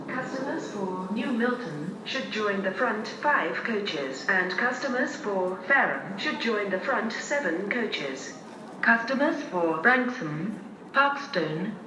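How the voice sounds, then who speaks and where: A British woman gives formal railway announcements at a slow, measured pace. She reads in neatly separated phrases, carried over an echoing station loudspeaker with clear background noise. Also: mid-range voice, distant mic. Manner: formal, measured, clearly segmented list reading. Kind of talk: scripted public address train announcement. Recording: echoey station tannoy from afar, noisy.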